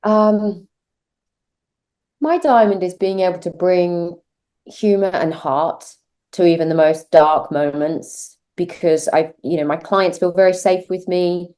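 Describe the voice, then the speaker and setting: A woman with a lowish, mellow voice, appearing as a guest on an interview podcast. She speaks earnestly and reflectively, with steady conviction. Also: slow pace. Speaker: podcast guest, transformation mentor.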